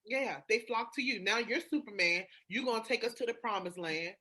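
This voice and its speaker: Woman, podcast co-host, sharp bright voice, casual candid talk, emphatic, repeats for emphasis. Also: a little high, a little fast.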